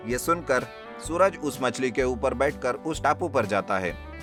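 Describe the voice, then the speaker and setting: A man narrates a scripted story for children. His voice sits at a middle pitch, and guitar music plays clearly underneath him. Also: expressive, measured pace. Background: plucked guitar music.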